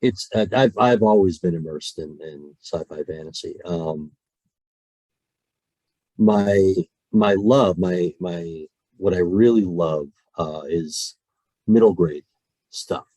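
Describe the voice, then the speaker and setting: A man's voice: low voice, slow pace, dry recording. A man, a voice actor, chatting on a podcast over a call, talking with passion and often restarting his sentences. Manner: animated, many restarts, passionate about storytelling. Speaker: guest voice actor. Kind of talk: casual podcast conversation.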